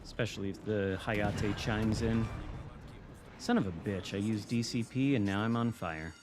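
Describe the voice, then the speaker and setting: A man's voice: lowish voice, normal speed, music in the background. A man with a Michigan American accent giving casual, dry, sometimes profane live commentary as he streams a video game. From United States. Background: video game artillery and gunfire.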